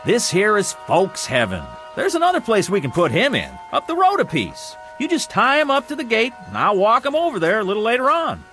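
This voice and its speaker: Casting: folksy, coaxing afterlife gatekeeper, Southern backwoods accent, high and very animated, faint music behind. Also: male voice, heavily acted. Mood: insistent. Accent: rural Southern American. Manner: folksy, coaxing, drawn-out phrasing. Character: folksy, wheedling male gatekeeper of a false heaven. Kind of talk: vintage television drama acting.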